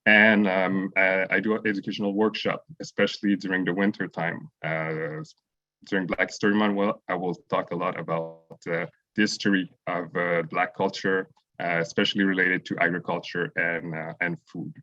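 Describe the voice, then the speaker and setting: A man speaking as a panelist, halting and hesitant with many fillers. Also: deep voice, little pitch movement, bright, forceful tone, dry recording. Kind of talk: panel talk. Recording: dull, dry sound.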